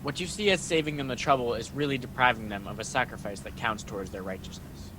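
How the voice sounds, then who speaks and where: On clearly noisy home audio, a man plays a wise, calm angel in a radio play. The voice is of average pitch, courteous and formally measured. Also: natural voice. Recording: noisy home video-call recording.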